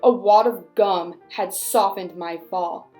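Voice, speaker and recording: Slow, dramatic little bad seed played by a woman. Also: medium pitch, dark tone, soft background music, natural voice. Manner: theatrical, drawn-out delivery. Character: small reformed bad seed, male, dramatic and rueful. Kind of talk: expressive children's picture-book read-aloud.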